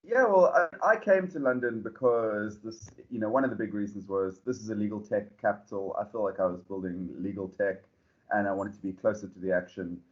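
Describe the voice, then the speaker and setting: A South African man, a startup founder, answering podcast questions in a relaxed, rambling way full of fillers and restarts. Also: fairly low pitch, brisk, dull audio. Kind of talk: podcast interview.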